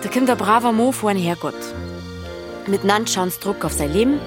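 A woman's voice: medium pitch, lively intonation, fairly quick, close to an everyday voice. Woman acting a young bavarian hiker girl, teasing n affectionate, plucky, radio drama, music under. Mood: storytelling. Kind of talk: naturalistic dialect radio drama.

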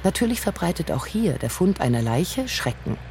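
A woman's voice: lowish voice, very animated, normal speed, darkish tone, a little hiss or hum. A woman narrating a literary crime radio drama in a brooding, solemn way.

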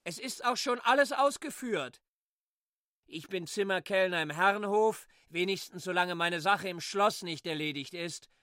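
A man's voice: high-pitched, moderate pace, bright, forceful tone, no room sound, clean studio sound. A man playing a complaining, insistent former assistant who is no longer young, in a radio drama. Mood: self-satisfied. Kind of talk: literary radio drama acting.

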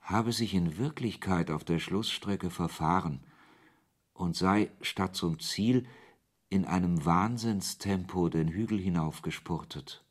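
An actor playing a wry author who narrates his story in a measured, literary way in a German radio play. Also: low voice.

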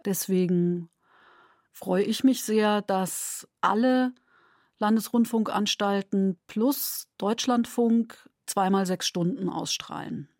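A woman's voice: medium pitch, takes it slowly, a little mellow, no echo, clean studio sound. A woman who produces radio drama, explaining her work in a considered interview statement.

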